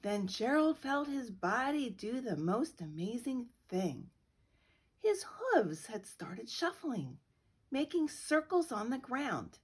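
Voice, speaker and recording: Middle-aged woman, guest storybook reader, high and breathy, slow and expressive. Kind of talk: children's picture-book read-aloud.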